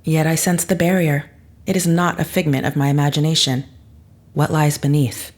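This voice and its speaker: An actress playing a guarded psychic astronaut in an audio drama, speaking in a formal, deliberate and restrained manner. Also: female voice, low-pitched, flat delivery. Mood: cool, probing.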